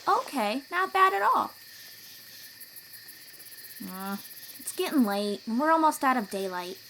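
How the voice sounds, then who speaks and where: A woman playing a young, protective sea-dweller warrior in an audio roleplay, encouraging and gently teasing as she talks straight to the listener. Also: sits high, big pitch swings, a little slow, slight background noise, full character voice, poor audio. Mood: encouraging, instructive.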